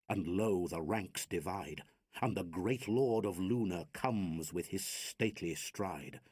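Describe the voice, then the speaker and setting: A man reciting a narrative poem dramatically, in a measured, theatrical, declamatory way of storytelling. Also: lowish voice, darkish tone, husky, dry recording, clean studio sound. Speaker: reader of narrative poetry.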